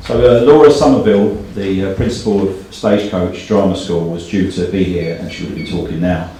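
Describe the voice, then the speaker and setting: Low-voiced man speaking in an echoey room. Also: steady tone, brisk, mellow. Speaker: event host. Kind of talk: live introduction speech at a book launch. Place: indoor event room, speaking live. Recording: echoey room, distant mic, faint noise.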